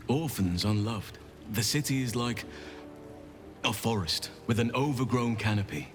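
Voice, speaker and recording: Casting: gentle nature-loving druid, low-pitched, earnest and measured, fantasy game, soft music underneath. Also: male voice. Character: gentle, solemn male druid who loves nature. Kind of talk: scripted video game dialogue.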